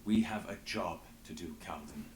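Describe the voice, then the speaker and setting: An actor playing a forceful, insistent fantasy leader under strain in a video game, intense and commanding. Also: male voice, low voice, moderate pace, echoey room, slight background noise, natural voice.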